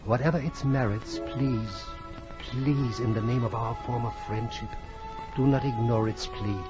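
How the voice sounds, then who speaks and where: An ailing, anguished gentleman played by a man pleads earnestly in a medium-pitched voice. Music plays clearly behind him in this old radio drama. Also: steady tone, unhurried, lo-fi sound, lightly acted. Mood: pleading, anguished. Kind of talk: 1940s radio drama acting.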